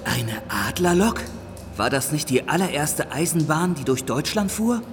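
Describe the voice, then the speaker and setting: A man's voice: on the high side, big pitch swings, normal speed. A man playing an inquisitive, careful young mine worker in a children's audio drama. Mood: curious, careful. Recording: long cavernous reverb, music behind.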